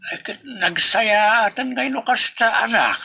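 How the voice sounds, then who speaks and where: A man voicing a kindly, delighted old father in a radio drama, with slow, drawn-out words. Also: very high voice, creaky, sharp tone, husky, voice pushed up, full character voice. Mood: pleased, tender.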